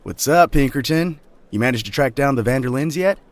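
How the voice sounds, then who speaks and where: A man's voice: medium pitch, animated, a little fast, natural voice. An actor playing a laid-back, teasing young man and loyal friend in an audio drama, casual and joking. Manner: casual, joking, swears freely.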